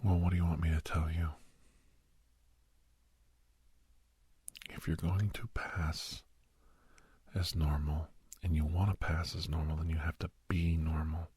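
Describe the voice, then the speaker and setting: A man playing a doctor who counsels a young person in an ASMR roleplay, mostly whispering in a slow, breathy, reassuring but firm manner. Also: rumbling low, flat delivery, mellow, full character voice. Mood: firm, apologetic. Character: male doctor gently counselling a young werewolf for college.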